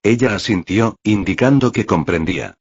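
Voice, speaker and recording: A low-voiced man narrates a scripted story in a husky tone. He reads the dialogue in his own narrating voice, as in an audiobook. The audio is dull and lo-fi. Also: expressive, moderate pace. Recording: muffled, roomy, lo-fi audio.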